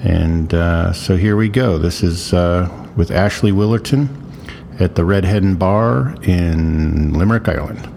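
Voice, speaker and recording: Man as podcast host, deep mellow voice, laid-back questions, faint noise. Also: measured pace, vocal fry. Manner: laid-back, lots of fillers, asks short follow-up questions. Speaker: podcast host and sign painter. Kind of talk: casual interview chat. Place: bar.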